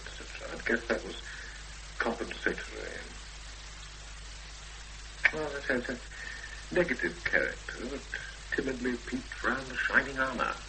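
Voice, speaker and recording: A man's voice: medium pitch, bright, forceful tone. An actor in a 1970s radio drama playing a self-assured, analytical literary man who hesitates as he thinks aloud. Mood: musing, engaged. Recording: noisy hummy 1970s radio broadcast recording.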